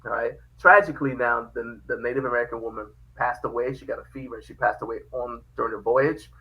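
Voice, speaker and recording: A man from Liberia, a podcast guest telling his family history in a lively, casual way, often laughing. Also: medium pitch, fast talker, dull audio. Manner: animated, laughs often, quotes dialogue. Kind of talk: casual storytelling.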